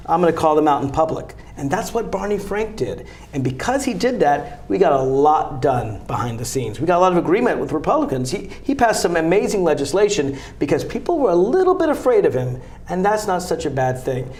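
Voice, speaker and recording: A man with a fairly high speaking voice makes his campaign pitch in a TV studio interview. He talks fast and emphatically, pressing each point like a fighter. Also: big pitch swings, darkish tone. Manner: emphatic, combative, rapid-fire argument. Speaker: congressional candidate. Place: local cable TV studio.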